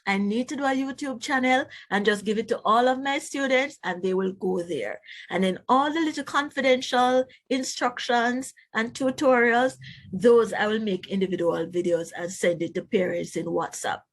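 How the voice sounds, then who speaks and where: A woman's voice: a little high, moderate pace. Woman reading aloud to children. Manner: instructive, addresses young listeners with questions. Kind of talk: children's read-aloud with explanatory asides.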